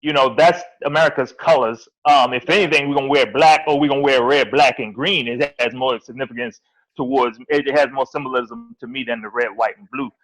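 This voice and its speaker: A man's voice: mid-range voice, fairly even intonation, a little fast, a bit bright, poor audio. A man speaking bluntly on a casual panel discussion, joking and swearing freely.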